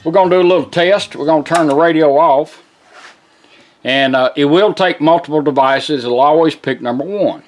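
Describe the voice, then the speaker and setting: Folksy higher-voiced man giving a casual demo. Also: normal speed. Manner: folksy, plain-spoken. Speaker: product demonstrator. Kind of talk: casual hands-on demonstration.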